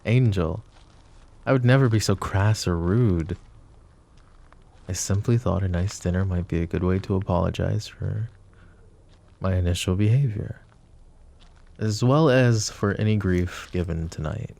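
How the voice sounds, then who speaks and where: A man playing a suave, possessive mafia boss in an intimate roleplay, speaking with mock courtesy and a teasing drawl in a low, gravelly voice. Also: unhurried, long pauses, darkish tone. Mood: courteous, coaxing. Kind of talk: roleplay ASMR acting, intimate address to listener.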